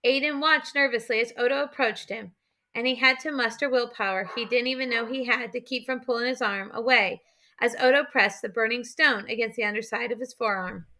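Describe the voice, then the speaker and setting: A woman's voice: a little high, fairly quick, sharp tone. A woman narrating an adventure novel as an audiobook, in a scripted storytelling style.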